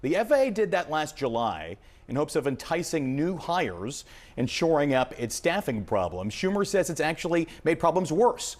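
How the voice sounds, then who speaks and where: An American man delivers a live news report as an aviation correspondent. His pitch moves in lively swings as he explains things. His phrasing is punchy and emphatic. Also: normal speed.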